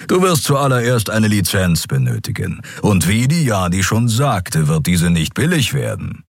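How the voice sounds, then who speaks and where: A man's voice: lowish voice, very animated, measured pace, gravelly, dry recording, clean studio sound, pitched above the performer's own voice, exaggerated voice. A man voicing a grumpy, sceptical male creature in a fantasy audiobook, with a growling, grumbling delivery. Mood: grumpy, dismissive.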